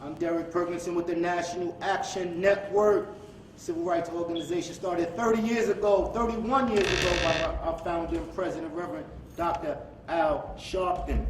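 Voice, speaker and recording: A man's voice: high-pitched, fairly even intonation, normal speed. A man giving a formal public introduction as the host of a staged reading at a live event. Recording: echoey room, distant mic, faint noise.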